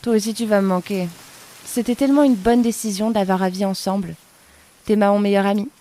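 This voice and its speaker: A woman's voice: medium pitch, steady tone, brisk, warm tone, lightly acted. A woman acting a flirtatious, flamboyant partygoer in a French audio drama, playful and informal. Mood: tender. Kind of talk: French fiction podcast acting.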